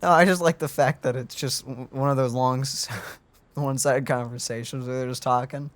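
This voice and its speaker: A young man commentating gameplay with wry, teasing remarks. His voice is mid-pitched and recorded close and dry. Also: fairly even intonation, normal speed, dry recording. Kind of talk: casual gameplay banter.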